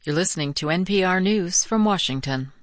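A woman reading the hourly radio newscast in a crisp, composed manner. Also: lowish voice, a little fast, dry recording. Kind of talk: scripted news reading. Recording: dry studio.

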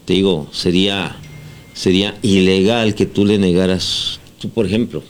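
A man's voice: low voice, steady tone, moderate pace. A man with a Mexican accent co-hosting a radio show, explaining at length and checking that he is followed. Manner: explains at length, asks for agreement. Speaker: radio co-host. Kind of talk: casual radio talk.

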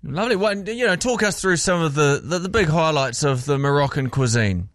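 Male radio co-host, New Zealand accent, high and very lively delivery, jokey banter. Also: a little fast. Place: radio studio.

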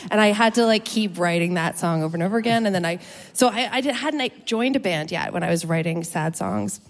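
A woman's voice: mid-range voice, brisk. Playful woman in her fifties, laughing. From Canada. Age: 50s. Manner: playful, laughs often, jokey asides. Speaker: musician guest. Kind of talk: casual interview chat.

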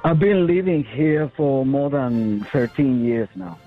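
A man from Paraguay calling in to a radio show, talking in a halting, friendly way. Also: mid-range voice, soft background music. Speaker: caller. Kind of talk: phone call to a radio show. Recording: tinny phone line.

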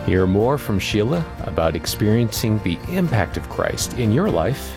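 A male announcer reads a short scripted program tag. His voice is very deep and moves expressively. Music plays clearly underneath. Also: sing-song, moderate pace.

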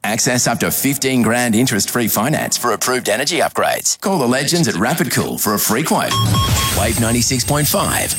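A man's voice: medium pitch, expressive, fairly quick, fairly bright tone, breathy edge, dry recording, soft background music. A man doing radio ads and station announcements in a lilting promo delivery. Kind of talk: ad and station voice-over.

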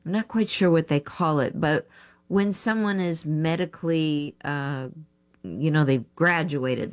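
Female radio coach, low and slow, muses aloud and second-guesses the details as she answers letters. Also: a little mellow. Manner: thinking aloud, unsure of terms, self-correcting. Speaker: writing coach and radio host. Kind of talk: advice show talk, reading listener letters.

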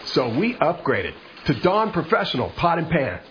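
An actor playing an enthusiastic, practical restaurant owner giving a radio ad testimonial. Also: male voice, a little high, wildly swooping pitch, moderate pace, muffled recording, slight background noise. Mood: upbeat.

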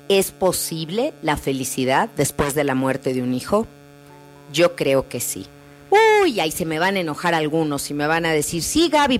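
A woman counselor speaking gently and reassuringly in a radio message about grief. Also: low voice. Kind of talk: calm spoken radio message.

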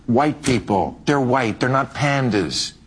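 A man's voice: mid-range voice, animated, slow. A man delivering a punchy, sarcastic monologue before a live audience, in a played clip. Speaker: comedian-commentator in an archive clip. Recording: clip with audience noise behind.

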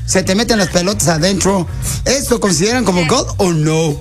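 Man playing a high-voiced bumbling soccer recruiter. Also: animated, normal speed, over music. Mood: mock serious. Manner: stumbling, garbled questions with mock seriousness.

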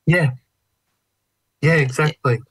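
An Australian man in his fifties from Melbourne's western suburbs, telling his own story in a reflective, thoughtful, halting way as an interview guest. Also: on the high side, slightly rough. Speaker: interview guest, trained dancer. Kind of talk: reflective personal storytelling in conversation.

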